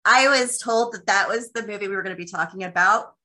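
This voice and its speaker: A woman's voice: mid-range voice, fast talker, bright, forceful tone. A woman from Texas hosting a podcast interview, chatty and gushing, with lots of filler words. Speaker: podcast host. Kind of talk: casual interview chat.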